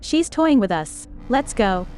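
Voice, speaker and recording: A woman acting a female mage warden in a game, guarded and direct. Her voice is fairly high and matter-of-fact, with music behind it. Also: sing-song, unhurried. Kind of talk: game mod character voiceover.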